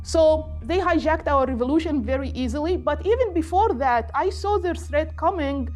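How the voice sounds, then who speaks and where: A middle-aged woman with an Egyptian accent, a native Arabic speaker, giving an earnest, deliberate political talk off the cuff. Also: sits high, expressive, a little mellow. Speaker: political activist and guest speaker.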